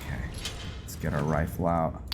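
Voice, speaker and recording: A man giving casual commentary on a game playthrough, thinking aloud and narrating his actions in a halting way. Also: a bass voice, fairly even intonation, gravelly. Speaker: gaming video host. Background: loud game music.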